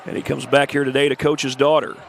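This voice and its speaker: A congested man with the flu giving steady play-by-play of a high school girls' basketball game, adding stats and schedule notes. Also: quick pace, vocal fry, slight background noise. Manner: steady, informative play-by-play with stat and schedule asides. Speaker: play-by-play announcer. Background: faint gym ambience, ball bouncing.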